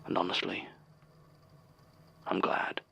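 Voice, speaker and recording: An actor playing an uneasy small-town man who gives an eerie statement in a subdued, measured monologue for a horror audio drama. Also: sharp tone. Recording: clearly noisy ambient bed.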